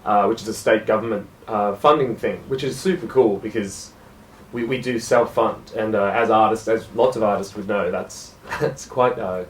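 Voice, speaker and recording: A young Australian man with a lowish voice talks easily about his music, pausing often and making fun of himself. He sounds a little distant from the mic in a roomy space. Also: a little hiss or hum. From South Gippsland, Australia. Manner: relaxed, self-deprecating, hesitant with fillers. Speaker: jazz musician guest. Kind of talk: casual radio interview.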